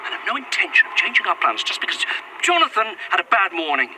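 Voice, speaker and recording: A man's voice: sits high, very animated, quick pace. A man acting an irritable, sweary corporate executive at breaking point in a found-footage audio drama, snappish and sarcastic. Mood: frantic, snappish. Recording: faint noise, found-footage recorder.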